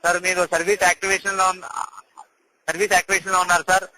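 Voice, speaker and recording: A male call-centre agent with a high, very sharp voice races through his lines. He stays polite and apologetic throughout, in practiced service phrasing. The phone recording has some noise behind him. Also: level tone, very fast, low-quality recording. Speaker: customer care agent. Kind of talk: scripted customer-service phone talk. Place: telephone call.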